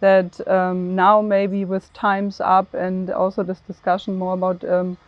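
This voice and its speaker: A woman panelist speaking conversationally in a discussion, measured and earnest, with halting phrasing. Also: on the low side, monotone, no room sound, lo-fi sound. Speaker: panelist, programme head.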